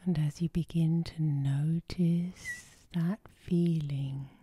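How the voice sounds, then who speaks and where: Very mellow whispering woman, low and slow. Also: steady tone, breathy edge, no room sound. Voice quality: soft, whispery. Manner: slow, soothing, gentle. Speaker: hypnotherapist, channel host. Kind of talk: ASMR guided sleep hypnosis.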